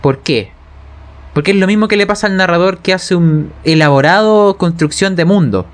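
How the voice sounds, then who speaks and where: A man's voice: high-pitched, normal speed. A man with a Chilean accent in a casual, opinionated chat, speaking informally with swearing and frequent check-in tags. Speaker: podcast speaker, game narrator. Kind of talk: casual opinionated chat about role-playing games.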